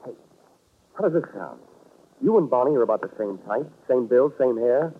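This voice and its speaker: A man's voice: medium pitch, animated, normal speed, slightly rough. A man playing a self-assured, wisecracking American private investigator in a 1940s radio drama, dry and hard-boiled in delivery. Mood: businesslike, wry. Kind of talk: 1940s radio detective acting. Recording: noisy, narrow-band 1940s radio recording.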